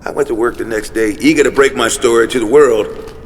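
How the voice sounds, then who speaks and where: A man's voice: medium pitch, steady tone, a little fast, close to an everyday voice. A man playing a paranoid, jaded homeless conspiracy theorist in an audio drama, speaking cryptically and with emphatic intensity. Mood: bitter, recounting. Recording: reverberant space, faint traffic noise.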